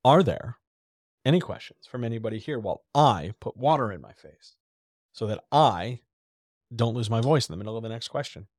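A man's voice: medium pitch, animated, normal speed, darkish tone, dry recording, studio quality. A man hosting a live writing chat, talking casually and loosely with asides and fillers. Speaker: host of a writers' chat.

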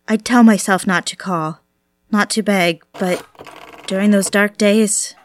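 An actress playing a desperate, obsessive mistress in an audio drama, with a needy, confessional manner. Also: female voice, medium pitch, steady tone, unhurried, a little hiss or hum, close to an everyday voice. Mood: wistful confession. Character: desperate, obsessive mistress pleading for her lover's attention.

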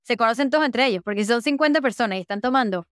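A woman's voice: on the high side, very fast, clean tone, no echo, clean studio sound. A young woman from Venezuela, a podcast guest chatting casually and enthusiastically about her work, often stacking words like "really" and "super.". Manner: enthusiastic, repeats "de verdad que" and "súper". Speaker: podcast guest, user researcher. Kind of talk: casual interview chat.

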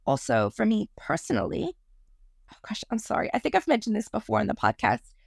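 A woman in her thirties hosting a podcast, talking casually on her own with a croaky voice, rambling and apologizing for her digressions. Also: mid-range voice, huge pitch swings, a little fast, darkish tone, no echo, studio quality. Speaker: podcast host. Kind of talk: casual solo podcast monologue.

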